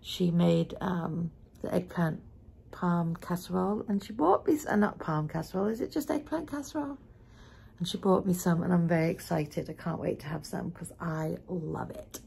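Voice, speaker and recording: A middle-aged woman talks casually to her viewers in a low, very creaky voice. She is chatty and rambles a little, with a soft, mellow and slightly husky tone. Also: normal speed. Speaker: vlogger. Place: small living room at home.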